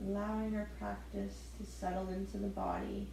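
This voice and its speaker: A woman leading a gentle yoga class, giving soft step-by-step breathing cues with the odd light laugh. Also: medium pitch, little pitch movement, moderate pace, vocal fry, very echoey, slight background noise, low-quality recording. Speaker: yoga instructor.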